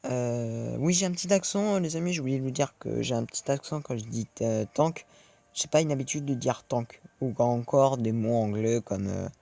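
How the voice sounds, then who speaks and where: Male let's player, mid-pitched, gravelly fry in the voice, loose chatty asides to viewers while he plays. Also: moderate pace, mellow, dry recording. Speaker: gaming video creator. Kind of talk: let's play commentary.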